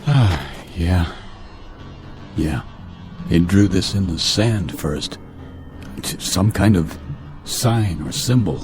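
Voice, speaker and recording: An actor playing an earnest, protective spaceship captain in a radio drama, agitated and commanding. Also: male voice, sits low, slow pace, long pauses. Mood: shaken, puzzled. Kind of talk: dramatic radio play acting. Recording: radio drama with background music. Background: music.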